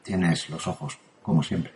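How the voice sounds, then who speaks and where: A man's voice: sits low, fairly even intonation, a little slow, gravelly, darkish tone. A man from playing a frightened patient in a psychiatric ward who sounds anxious and shaken. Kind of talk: audio drama acting. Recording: roomy echo.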